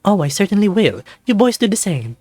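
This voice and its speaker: A flamboyant snow leopard gentleman played by a man speaks high and lilting, with big swoops. He is a gracious, theatrical resort host from a visual novel, courtly with his guests, over a music bed. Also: very animated, a little fast, no echo. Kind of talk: visual novel character acting.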